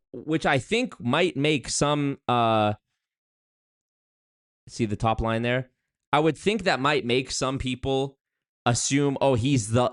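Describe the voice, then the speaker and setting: A man's voice: medium pitch, no room sound, clean studio sound. A man giving casual live-stream commentary on a video, wry and chatty, with restarts and asides.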